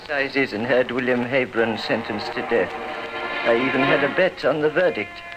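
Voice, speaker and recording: An actor playing a hardened condemned murderer confessing in formal, declamatory 1950s radio drama style. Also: male voice, mid-range voice, normal speed, highs cut off, lightly acted. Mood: matter-of-fact. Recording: old radio broadcast, music behind.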